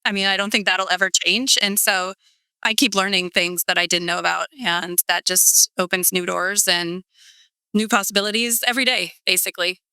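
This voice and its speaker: A woman's voice: mid-range voice, fairly even intonation, normal speed, pushed and bright, clean tone, dry recording, low-quality recording. A woman in her forties from California, a podcast guest telling her story in a chatty, rambling way with many fillers. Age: 40s. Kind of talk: casual interview storytelling.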